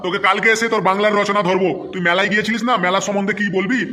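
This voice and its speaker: A man's voice: high-pitched, flat delivery, racing, bright, forceful tone. A man voicing a stern, sarcastic, exasperated cartoon schoolteacher. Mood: resigned, stern. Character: exasperated, scolding male schoolteacher. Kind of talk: comic cartoon dialogue. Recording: long reverberant echo.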